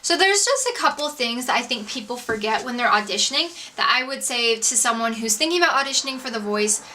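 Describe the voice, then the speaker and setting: A woman's voice: on the high side, bright, forceful tone. A woman in her twenties chatting casually as a vlogger, telling a personal story in an informal, self-deprecating, slangy way. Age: 20s. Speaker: YouTube vlogger. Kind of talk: casual storytime chat to camera. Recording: distant mic with faint background noise.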